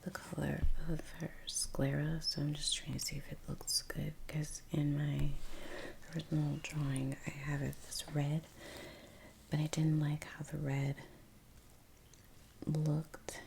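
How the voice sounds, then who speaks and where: A woman softly whispering in a breathy voice, chatting in an unhurried, murmuring ASMR style as she works. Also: deep voice, level tone, slight background noise. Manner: unhurried, trailing off, murmured musing. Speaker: artist, ASMR video creator. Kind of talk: soft-spoken ASMR painting chat. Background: soft brush and painting sounds.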